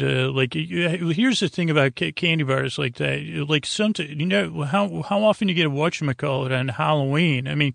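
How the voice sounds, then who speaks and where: A man with a creaky voice hosting a bedtime podcast, meandering through whimsical tangents in halting, repetitive sentences that trail off mid-thought. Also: on the high side, fairly bright tone, dry recording, studio quality. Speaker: podcast host. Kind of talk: rambling bedtime podcast monologue.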